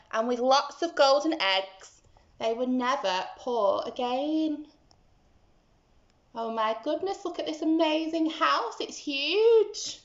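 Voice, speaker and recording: A female teacher tells a tale to small children with dramatic emphasis. Her high voice rises and falls widely, and the recording is roomy and a little dull. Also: a bit bright. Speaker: teacher reading to young children. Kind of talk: children's storytelling.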